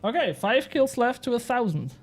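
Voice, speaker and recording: A man's voice: very high-pitched, sing-song, moderate pace. A young man from Switzerland, a native Swiss German speaker, chatting casually with his viewers while he plays a game. He rambles, mocks himself, swears casually and laughs a lot. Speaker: game streamer. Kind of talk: casual live-stream chat while gaming.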